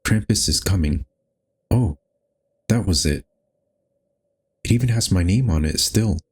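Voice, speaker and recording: Male game host, deep and husky, reading story text and dialogue aloud. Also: animated, a little slow, a little mellow. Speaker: gaming host. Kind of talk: reading visual novel narration and lines aloud.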